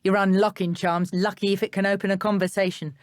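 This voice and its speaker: An actress playing a menacing, taunting dark witch in a video game, with a British accent and a contemptuous manner. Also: mid-range voice, flat delivery, fast, dry recording, clean studio sound, natural voice. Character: menacing, sneering dark witch poacher, adult woman. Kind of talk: video game character voice lines.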